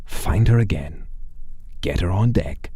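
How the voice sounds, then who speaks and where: A man voicing a sly, sarcastic, calculating demon negotiator in a told story, measured, hushed and theatrical. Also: low-pitched, expressive, husky, dry recording, full character voice. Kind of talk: acted dialogue within a story.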